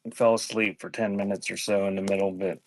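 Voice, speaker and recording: A man with a fairly low voice hosts a casual live selling stream. He rambles informally, thinking out loud and letting sentences trail off before picking up again. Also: level tone, quick pace. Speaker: live online auction host selling cassette tapes. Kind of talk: casual rambling livestream chat.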